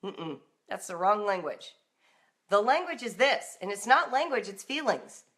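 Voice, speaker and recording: A woman's voice: mid-range voice, very animated, unhurried, fairly bright tone. American woman giving emphatic, informal coaching. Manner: emphatic, direct, conversational, addressing listeners. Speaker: parenting and homeschool coach, live broadcast host. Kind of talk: informal live coaching talk with acted-out example dialogue.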